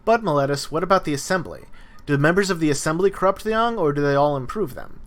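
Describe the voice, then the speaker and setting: A man's voice: on the high side, lively intonation, fast talker, natural voice. A man playing an indignant philosopher cross-examining his accuser with pointed rhetorical questions, reading a dialogue aloud. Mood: pointed, questioning.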